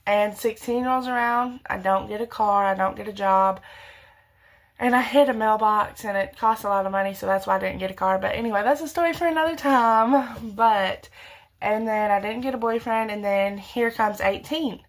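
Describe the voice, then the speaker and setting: A woman's voice: medium pitch, normal speed. A young woman, about twenty, giving earnest, rambling faith advice straight to viewers, with frequent restarts and self-corrections. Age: young adult. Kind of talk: casual faith talk to camera.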